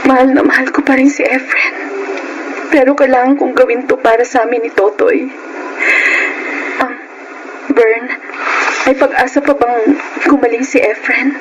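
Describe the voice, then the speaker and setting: A woman's voice: high voice, steady tone, moderate pace, pauses often, muffled recording, noisy background, lightly acted. An actress with a Filipino accent playing an earnest, distressed young wife and mother in a radio melodrama. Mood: sorrowful, tender. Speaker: actor in audio drama.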